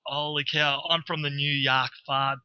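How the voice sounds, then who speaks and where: A man's voice: a little high, level tone, measured pace, smooth, no echo. A man with an Australian accent, a podcast guest chatting casually, rambling and laughing often as he corrects himself. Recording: dull lo-fi audio.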